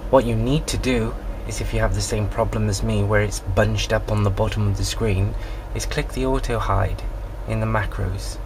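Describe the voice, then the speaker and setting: A man with a fairly low, gravelly voice talks through software steps in a plain, informal tutorial style. He sounds like a hobbyist instructing at home. The handheld recording carries clear background noise. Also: fairly even intonation, moderate pace, hardly any pauses. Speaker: amateur radio hobbyist giving a software tutorial. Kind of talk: informal step-by-step tutorial narration. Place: small home radio room, indoors. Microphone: handheld camera or phone microphone. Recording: noisy handheld camera in radio room.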